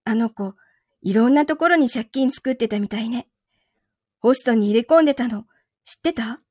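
A woman playing a possessive lover in a voice drama, speaking gently and calmly with quiet menace. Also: a little high, no room sound, clean studio sound, natural voice. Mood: cold, calm. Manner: soft, gentle, unhurried delivery without shouting. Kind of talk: Japanese voice drama acting.

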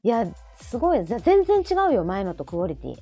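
Lively Kansai woman chatting, mellow voice. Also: medium pitch, fairly quick, soft background music. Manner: expressive, reacting with frequent backchannels. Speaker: host. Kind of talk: casual chat.

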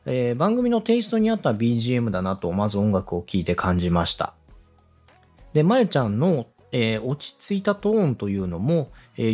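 A man's voice: mid-range voice, darkish tone. A man hosting a podcast, giving polite, methodical, explanatory advice in a structured way. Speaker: podcast host, radio director coaching a co-host.

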